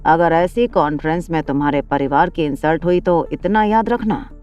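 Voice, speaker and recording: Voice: medium pitch, moderate pace, a little mellow, muffled recording, faint music behind, close to an everyday voice. A narrator voicing a scheming middle-aged businessman who bargains pushily in an audio drama. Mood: threatening. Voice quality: smooth, pushy bargaining.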